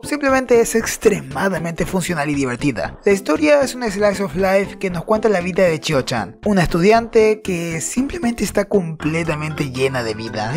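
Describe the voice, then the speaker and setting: A man giving an enthusiastic video review of manga, informal and emphatic, with some profanity. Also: sits high. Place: voice-over over manga pages with background music.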